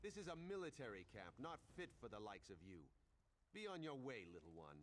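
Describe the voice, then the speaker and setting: A man's voice: medium pitch, very animated, brisk, a little hiss or hum, lightly acted. An actor playing a dismissive, scornful male soldier.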